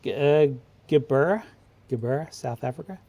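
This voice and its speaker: A man's voice: fairly high pitch, lively intonation, normal speed, dry recording, dull audio. A man in casual conversation on an online livestream panel.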